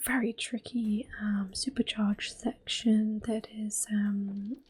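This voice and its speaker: Woman, hushed and breathy, medium pitch, ASMR gaming host, gentle unhurried delivery, music playing behind. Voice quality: soft, breathy, whispery. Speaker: gameplay video host. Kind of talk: soft-spoken ASMR game commentary with whispering.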